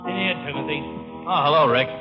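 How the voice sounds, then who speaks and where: An actor playing a businesslike police detective in an old-time radio drama, speaking briskly and matter-of-factly. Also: male voice, a little high, lightly acted. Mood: official. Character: businesslike male police detective, the hero's ally. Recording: muffled old-time radio recording with music.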